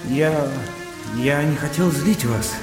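A man acting an awkward, self-important, timid gentleman in a radio drama, speaking with hesitant restraint. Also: a little high, slow. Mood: flustered. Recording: echoey studio reverb, music and rain behind.

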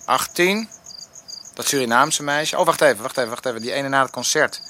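An actor playing a troubled, evasive husband who speaks hesitantly in a Dutch radio drama. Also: male voice, medium pitch, bright, forceful tone, a little hiss or hum, natural voice. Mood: sheepish, counting. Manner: hesitant, halting. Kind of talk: Dutch radio drama acting with inner-monologue narration.

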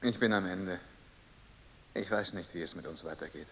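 An actor playing an unhappy, brooding young husband who is dead, in a poetic radio play, with solemn, heavy delivery. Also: male voice, sits low, moderate pace, a bit bright, a little hiss or hum. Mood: despairing.

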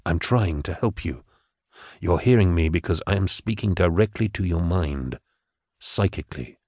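A man playing a calm, refined telepath. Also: deep voice, no room sound, clean studio sound. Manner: polite, measured, hushed. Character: calm, refined middle-aged male telepath.

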